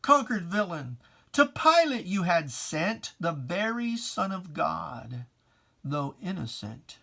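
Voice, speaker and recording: Slow, theatrical dramatic poetry reader. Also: mid-range voice, very animated, vocal fry, bright, forceful tone. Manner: theatrical, deliberate, taunting, with pointed pauses. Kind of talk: dramatic reading of religious verse.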